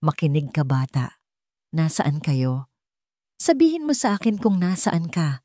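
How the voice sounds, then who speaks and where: A Filipino woman voicing a commanding, driven male boss in an audiobook, calm but firm and authoritative. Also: sits low, a little slow, no room sound, studio quality, exaggerated voice. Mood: calm, insistent. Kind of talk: audiobook character acting.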